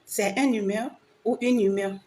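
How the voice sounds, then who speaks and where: A woman's voice: mid-range voice, fairly even intonation, normal speed, a little mellow. A woman, a French speaker, giving an informal opinion monologue to other women, emphatic and repeating phrases for emphasis. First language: not French. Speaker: vlogger. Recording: noticeable background ambient noise.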